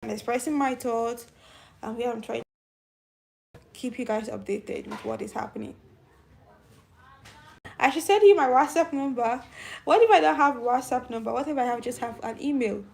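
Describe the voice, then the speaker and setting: A woman's voice: a little high, normal speed, gravelly, long pauses, a little mellow. A middle-aged woman with a young-sounding voice hosting a casual live stream, chatting and answering viewer comments. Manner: youthful-sounding voice. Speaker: channel host.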